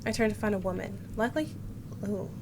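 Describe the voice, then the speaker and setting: A woman's voice: mid-range voice, expressive, a little fast, noisy background. A woman streamer reading visual novel text aloud, expressively voicing the narration and character lines. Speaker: game streamer. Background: game music.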